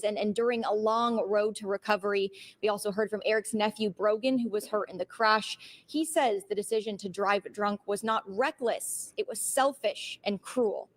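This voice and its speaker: A woman narrating a scripted television news report as a reporter. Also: mid-range voice.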